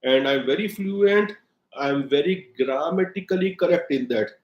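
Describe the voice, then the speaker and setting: A man's voice: a little high, lively intonation, normal speed, reverberant, highs cut off. A man with an Indian accent, a native Hindi speaker, giving blunt, emphatic political commentary with frequent "uh" fillers. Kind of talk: solo commentary monologue.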